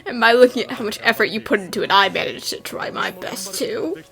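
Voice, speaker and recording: Lady playing a sincere guy company boss in a cartoon dub, polite, kinda high voice, music behind. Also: lively intonation, a little fast, gravelly, bright, forceful tone, full character voice. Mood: grateful. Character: polite, sincere male company president, a grateful son. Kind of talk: cartoon fan-dub character acting.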